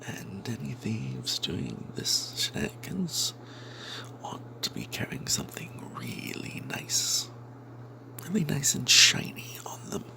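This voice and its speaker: A man narrates a fantasy adventure in a breathy whisper, as if keeping a secret. His delivery is slow and broken by dramatic pauses, with a dry crackle of creak in the hushed voice. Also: lowish voice, huge pitch swings. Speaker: narrator telling the tale in character as a cleric. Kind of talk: whispered ASMR storytelling of a tabletop fantasy adventure.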